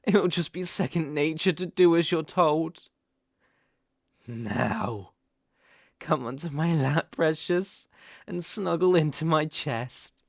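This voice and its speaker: Man acting gravelly, possessive British boyfriend. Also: fairly high pitch, no echo, studio quality, full character voice. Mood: pleased, coaxing. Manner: soft coaxing menace, slow deliberate emphasis. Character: obsessive, possessive, menacing young boyfriend holding partner captive. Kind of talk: intimate ASMR roleplay acting.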